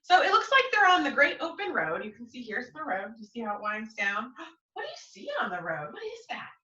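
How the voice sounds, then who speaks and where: An American woman, a school teacher, hosting a storytime for young children in an expressive sing-song way, asking them questions as she goes. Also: on the high side, a little fast. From United States. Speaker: school teacher reading to her young pupils. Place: indoors at home. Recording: roomy echo, distant mic, slightly dull sound.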